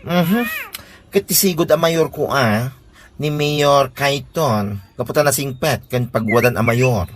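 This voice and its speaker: A man's voice: mid-range voice, unhurried, lightly acted. A man playing a defiant, touchy villager who resents meddling, in a comedy radio drama. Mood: opinionated. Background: background music.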